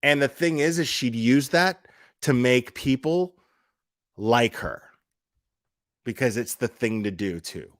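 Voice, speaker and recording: A man's voice: medium pitch, expressive, takes it slowly, long pauses, no echo, clean studio sound. A man speaking casually and off the cuff like a live streamer on a rant, blunt and profane, repeating phrases for emphasis. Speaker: livestream commentator.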